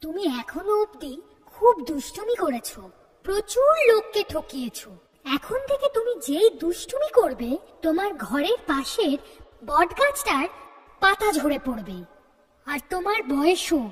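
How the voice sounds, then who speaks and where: A woman's voice: extremely high pitch, big pitch swings, unhurried, in a large hall, exaggerated voice. A woman voicing a stern goddess in a fairy-tale cartoon, solemn and admonishing. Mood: solemn warning.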